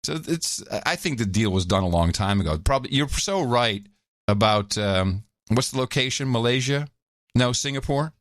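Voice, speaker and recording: A man co-hosting a podcast in loose, wry conversation, laughing easily. His pitch swings widely as he talks, at a middle register. The sound is close and dry. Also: moderate pace, no room sound. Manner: animated, wry, laughs often. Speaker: podcast co-host. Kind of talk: casual chat.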